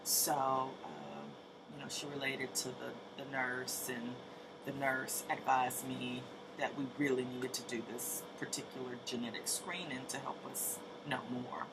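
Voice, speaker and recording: A woman's voice: rumbling low, little pitch movement, unhurried, noisy background. A woman in her late thirties giving a casual, hesitant personal update to camera, full of ums and restarts, sounding tired. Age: 30s. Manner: soft-spoken, hesitant, many ums and restarts. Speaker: vlogger.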